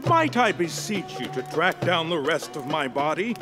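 A man's voice: a little high, very animated, normal speed, music in the background. A man playing a pompous, theatrical old skeleton king in a video game, speaking in formal, courtly phrases. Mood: indignant, courtly. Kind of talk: video game cutscene acting.

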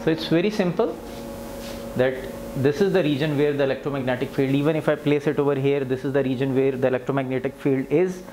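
A man in his thirties giving an unscripted instructional demonstration, explaining plainly step by step. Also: a little high. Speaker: physiotherapy instructor demonstrating equipment. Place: indoor clinic treatment room with tiled floor. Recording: clear background noise, camera in clinic room.

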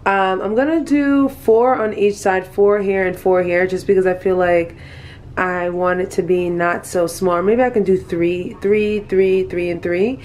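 A woman's voice: medium pitch, steady tone, a little slow, a little mellow. Stuffy-nosed chatty woman vlogger. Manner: chatty, rambling, addresses viewers as guys. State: stuffy, nasal from allergies. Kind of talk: casual vlog chat to viewers. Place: small apartment kitchen, indoors.

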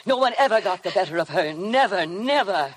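A woman's voice: medium pitch, lively intonation, brisk, close to an everyday voice. An actress playing a sinister, obsessive housekeeper who goads her mistress with servile, hypnotic menace in a 1950s radio drama. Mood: obsessive bitterness. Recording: noisy old 1950 radio broadcast recording.

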